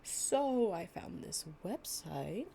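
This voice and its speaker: A woman's voice: medium pitch, sing-song, slow, gravelly, mellow, slight background noise, full character voice. A young woman playing an affectionate, chatty girlfriend in an improvised, intimate storytime roleplay, with a casual, slangy and playful way of talking. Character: affectionate, chatty girlfriend reading fables to her partner.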